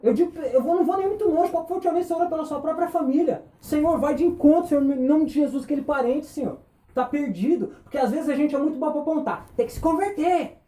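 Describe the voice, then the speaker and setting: A man preaching a sermon, impassioned, firing rhetorical questions and dropping between loud and hushed. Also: very high-pitched, quick pace, echoey room. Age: 20s.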